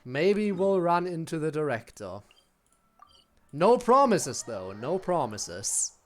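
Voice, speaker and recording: A woman with a low voice chats casually as she streams a game. She reacts to the dialogue with dry, teasing asides, and faint music plays behind her. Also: sing-song, takes it slowly, darkish tone. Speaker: live streamer playing a game.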